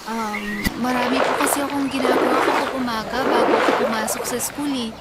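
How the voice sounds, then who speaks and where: An actress playing an overworked, polite young student in a Filipino radio drama, hesitant and apologetic, with a Filipino accent. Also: female voice, fairly high pitch, steady tone, a little fast, very noisy, natural voice. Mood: meek, reassuring. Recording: loud music bed behind voice.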